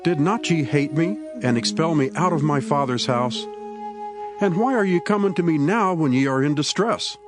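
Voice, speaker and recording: A man's voice: fairly high pitch, moderate pace, over music, lightly acted. A man playing an indignant, earnest ancient Israelite war leader, speaking in declamatory scriptural style for a dramatized Bible reading. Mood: indignant, challenging. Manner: declamatory, archaic scriptural oratory.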